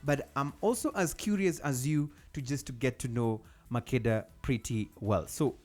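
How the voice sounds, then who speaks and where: Guy radio host in studio, stumbles and restarts a lot, middle pitch. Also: darkish tone. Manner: halting, repeats words and restarts often. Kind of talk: radio interview.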